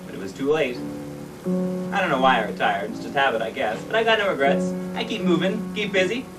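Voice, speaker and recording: A man's voice: on the high side, big pitch swings, fairly quick, far from the mic, over loud music, low-quality recording. An actor playing a retired fireman, an older man, restless and wistful, in a folksy theatrical monologue. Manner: colloquial, folksy working-class phrasing. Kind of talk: theatrical monologue with sung lines.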